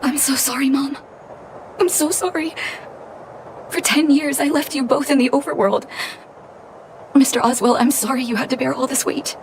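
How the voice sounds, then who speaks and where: An actress playing an earnest, gentle and caring woman doctor in a video game. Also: sits high, fairly even intonation, moderate pace, long pauses, a little hiss or hum. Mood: apologetic, earnest. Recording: music behind the voice. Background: music.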